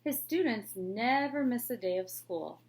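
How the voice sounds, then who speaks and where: A woman teacher reading a children's picture book aloud with a clear, expressive storybook delivery. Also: a little high, unhurried, poor audio.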